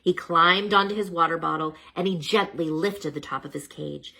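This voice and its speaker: A woman reading a children's storybook aloud as a storyteller, dramatic and expressive, with chatty asides to the listeners. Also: lowish voice, normal speed, gravelly.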